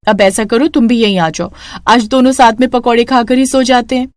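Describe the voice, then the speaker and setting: A woman's voice: a little high, steady tone, brisk, dry recording, lightly acted. A woman voicing a spirited, kind young street vendor in a cartoon story, earnest and chirpy. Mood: reassuring.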